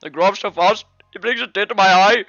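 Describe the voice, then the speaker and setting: Teasing female school friend for a game, male performer: exaggerated, high and forceful, slightly muffled audio. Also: wildly swooping pitch, a little slow, no room sound, soft background music, heavily acted. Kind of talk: video game fan voice-over.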